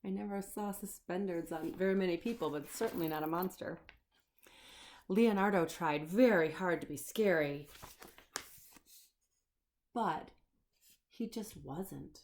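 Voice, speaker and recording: Woman, children's librarian, picture-book read-aloud, unhurried pace, expressive, explanatory, questioning. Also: on the low side, slight background noise.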